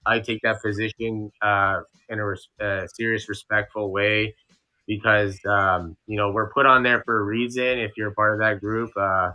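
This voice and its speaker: A man's voice: on the low side, level tone, normal speed, bright, forceful tone. A young man from Canada, a streamer guest chatting in an interview, rambling and restarting with lots of fillers. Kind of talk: casual interview chat.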